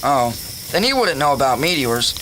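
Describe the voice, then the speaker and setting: A man's voice: medium pitch, sing-song, normal speed, sharp tone, a little hiss or hum, rough recording. A man playing an eager, folksy fire lookout in a radio drama.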